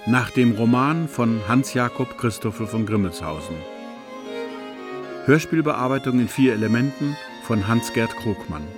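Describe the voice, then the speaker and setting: Slow, formal male radio announcer over strings. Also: medium pitch, animated. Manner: measured, formal. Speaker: closing announcer. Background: string music.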